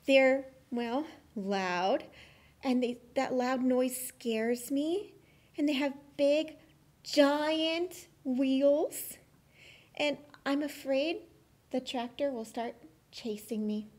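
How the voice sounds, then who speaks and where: Woman voicing a timid, high-pitched little bear cub. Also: extremely slow, darkish tone, heavily acted. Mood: timid, worried. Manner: hesitant, childlike phrasing. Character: timid young bear cub puppet who loves cake. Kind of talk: children's puppet show.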